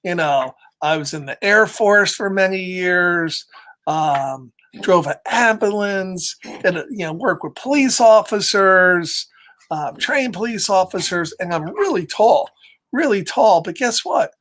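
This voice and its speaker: A man's voice: sits high, lively intonation, a little slow, fairly bright tone. A middle-aged man telling a children's story, with casual chatty asides and a playful, encouraging, simple way of talking.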